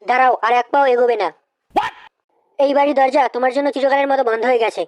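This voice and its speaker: A defiant cartoon child, high-pitched and quick. The kid scolds curtly and bossily, in a dry, close recording. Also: male voice, fairly even intonation, no echo. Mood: defiant, scolding. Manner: curt, commanding. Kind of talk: comedy cartoon dialogue.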